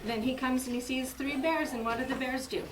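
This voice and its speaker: A woman's voice: high voice, fairly even intonation, brisk. A woman teaching young children through an interactive read-aloud, giving instructions, asking questions and repeating answers. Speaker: teacher leading a first grade class. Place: indoor classroom with children. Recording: echoey noisy classroom, distant mic.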